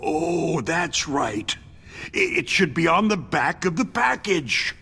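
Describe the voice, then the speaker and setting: An actor voicing a battered older American executive held captive in a video game, frightened, halting and stammering, given to agitated outbursts. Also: male voice, a little high, big pitch swings, vocal fry, bright, forceful tone, slightly rough, exaggerated voice. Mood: urgent, halting. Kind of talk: dramatic video game voice acting.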